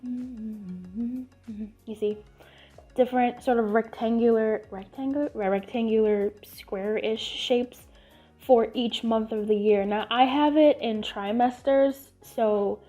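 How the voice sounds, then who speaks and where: A chatty woman with a somewhat high, sniffly voice talks through a tutorial, rambling and restarting her sentences. Her voice comes through a tinny, phone-like line, and soft music plays underneath. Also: takes it slowly. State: sniffly, congested voice. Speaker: channel host. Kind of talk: casual tutorial chat.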